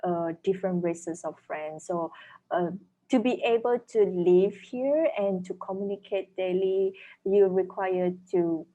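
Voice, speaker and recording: A woman with a Malaysian accent, a native Chinese speaker, answering interview questions as an author in a thoughtful, measured way, searching for words. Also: medium pitch, dark tone, highs cut off. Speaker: author and dentist guest.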